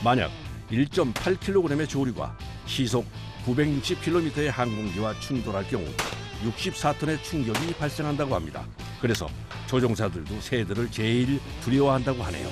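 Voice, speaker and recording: A male documentary narrator reads his script in a calm, informative way. His voice moves up and down a lot as he explains things. Music plays clearly behind him. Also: mid-range voice, fairly quick. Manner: calm, even informative delivery.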